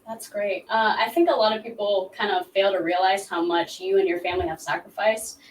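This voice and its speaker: A woman's voice: medium pitch, fairly even intonation, fairly quick, recorded from a distance, slight background noise. Woman interviewer heard from across an echoey room. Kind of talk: friendly interview questions. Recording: echoey room, voice away from mic.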